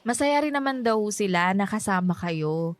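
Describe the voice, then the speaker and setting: A woman's voice: mid-range voice, lively intonation, normal speed, no echo, close to an everyday voice. An actress playing a friendly young Filipino woman in a radio drama, speaking politely with a playful, slightly hesitant manner and a Filipino accent. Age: young adult. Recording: background music.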